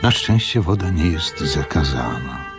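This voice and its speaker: Very deep, slow old innkeeper. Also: male voice, lively intonation, over loud music. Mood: reflective. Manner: solemn, measured. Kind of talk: Polish radio drama narration and monologue.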